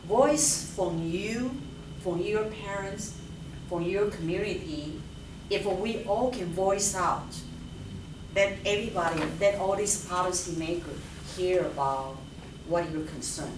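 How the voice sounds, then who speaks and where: Woman official, halting speech, fairly low voice, noisy room, distant mic. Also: echoey room. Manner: halting, frequent "you know". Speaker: elected official. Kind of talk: interview answers.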